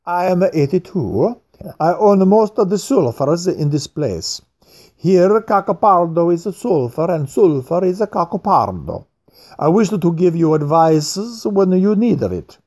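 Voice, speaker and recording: A reader voicing a pompous eighty-two-year-old rich man with an Italian accent who speaks English slowly, carefully and formally. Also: medium pitch, very animated, a little mellow, voice pushed up. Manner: slow, careful, formal, bowing.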